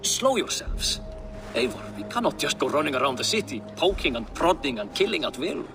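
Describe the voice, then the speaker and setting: A man's voice: on the high side, very animated, a little fast, music in the background. An actor playing a burdened old Viking warrior and councilman, hearty but wary, in a video game cutscene. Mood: wary, urgent.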